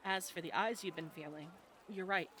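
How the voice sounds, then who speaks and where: Over clear background noise comes a woman acting as a friendly tavern woman from a medieval fantasy audio adventure. Her voice is bright and forward. She speaks conversationally, teasing a little as she guides a newcomer. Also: lowish voice, moderate pace, close to an everyday voice. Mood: guiding. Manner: conversational, gently instructive.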